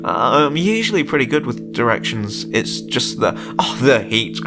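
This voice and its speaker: A man's voice: mid-range voice, big pitch swings, a little slow, over music, voice pushed up. A man voicing an awkward young male park employee in an audio story, hesitant, stammering and sheepish. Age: young adult.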